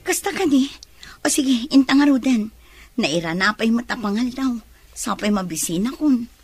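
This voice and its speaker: A woman's voice: a little high, expressive, normal speed, breathy edge. A woman acting a busy hospital worker in an Ilocano radio drama. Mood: hurried. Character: busy female hospital worker, eager for lunch.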